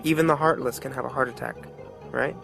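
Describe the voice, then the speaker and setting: A man's voice: mid-range voice, steady tone, fast talker, vocal fry, fairly bright tone, faint music behind. A man playing a wry, streetwise storyteller, narrating a motion comic in a folksy, reflective, colloquial way. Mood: wry, ominous. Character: wry, streetwise male storyteller musing on death.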